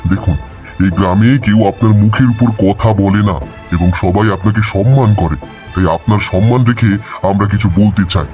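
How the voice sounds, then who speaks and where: A man's voice: on the low side, moderate pace, darkish tone, faint music behind, natural voice. A man playing an honest village man in a cartoon, respectful but firm, protesting earnestly and politely. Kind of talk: cartoon dialogue.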